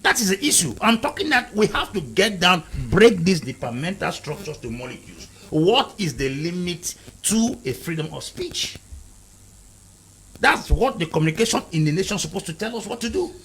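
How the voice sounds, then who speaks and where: Panel guest, man from Cameroon, slightly high-pitched, hammering points home over faint background noise. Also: big pitch swings, normal speed, a bit bright, low-quality recording. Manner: emphatic, repetitive, lecturing. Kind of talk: heated panel debate.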